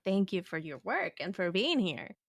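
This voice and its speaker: A woman's voice: medium pitch, big pitch swings, moderate pace, faint music behind. A woman hosting a podcast, chatting casually, searching for words and laughing easily. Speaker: podcast host. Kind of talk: casual interview chat.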